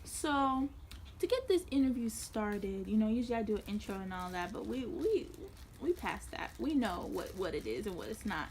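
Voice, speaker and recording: A woman's voice: medium pitch, very animated, moderate pace, a little mellow. A young woman hosting a casual interview, easygoing and chatty, with frequent ums. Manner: easygoing, laughs often, says "um" a lot. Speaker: host. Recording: faint background noise.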